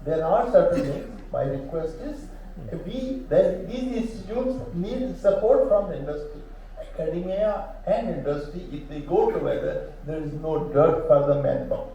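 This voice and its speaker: A man's voice: on the high side, lively intonation, moderate pace, vocal fry, dark tone, a little hiss or hum. An older man with an Indian English accent, an aviation teacher speaking from the audience at a panel. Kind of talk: question from the floor. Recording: echoey hall, distant dull mic.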